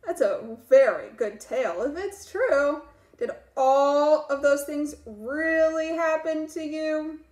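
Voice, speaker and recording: A woman's voice: high-pitched, takes it slowly, darkish tone, echoey room. A woman voicing a strict female schoolteacher who scolds a late pupil. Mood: wry. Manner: scolding, demanding.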